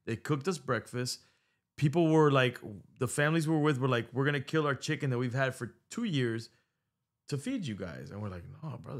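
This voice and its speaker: Animated middle-aged man telling stories. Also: medium pitch. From United States. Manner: animated storytelling, swears freely, drops Spanish words. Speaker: podcast host. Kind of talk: casual chat. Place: podcast studio. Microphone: studio mic on boom arm.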